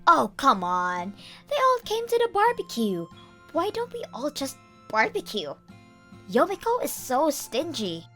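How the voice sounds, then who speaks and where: A woman's voice: high-pitched, huge pitch swings, unhurried, sharp tone, soft background music, pitched above the performer's own voice, heavily acted. A woman voicing a meddling, flustered older mother-in-law who wheedles, in a manga dub. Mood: flippant. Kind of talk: manga dub character acting.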